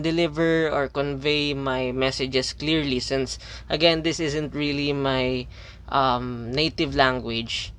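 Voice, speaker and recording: A man's voice: on the high side, fairly bright tone, smooth. Hesitant Filipino man reviewing a product. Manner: hesitant, many fillers and restarts; repeats "okay" between points.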